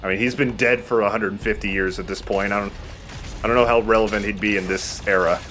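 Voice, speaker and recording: A man's voice: fairly low pitch, fairly even intonation, fairly quick, a bit bright, a little hiss or hum. A man giving casual let's play commentary as the player, informal, sweary and self-deprecating.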